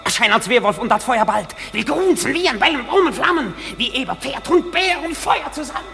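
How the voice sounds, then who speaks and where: A man's voice: extremely high pitch, big pitch swings, a little fast, creaky, exaggerated voice. A man playing a mischievous, mocking forest sprite in a German radio drama, declaiming with glee. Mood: wild, gleeful. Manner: gleeful, declamatory. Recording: long reverb, music behind.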